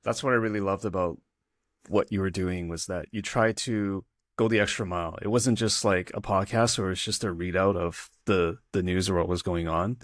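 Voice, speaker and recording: A man's voice: low voice, steady tone, moderate pace, no echo, clean studio sound. A man hosting an interview, asking loose questions and rephrasing them as he goes. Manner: rephrases questions mid-sentence, restarts. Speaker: podcast host. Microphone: remote call recording.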